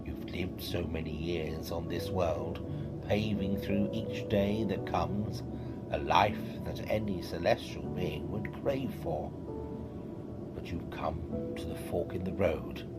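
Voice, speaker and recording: An actor playing a solemn, mysterious celestial guide in an audio drama, hushed, breathy and portentous. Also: male voice, low voice.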